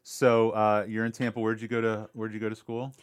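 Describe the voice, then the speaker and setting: A man's voice: fairly low pitch, completely flat delivery, fairly quick. A man interviewing a guest in a casual, curious way, with a teasing sense of humor.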